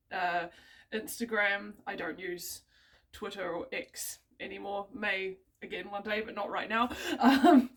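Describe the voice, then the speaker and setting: A woman's voice: mid-range voice, fairly bright tone. A young woman with a New Zealand accent talking casually to camera, hesitant and rambling with many ums and small laughs. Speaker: vlogger. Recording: voice a bit distant from the mic.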